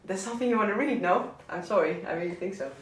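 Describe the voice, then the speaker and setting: A man with a southern Dutch accent talking casually about favourite records, halting often with fillers and restarts. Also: high voice, a bit bright, slight background noise. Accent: Dutch, southern Brabant. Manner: hesitant, many fillers and restarts. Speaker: DJ and record selector. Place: indoor listening session. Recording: echoey room, mic at a distance.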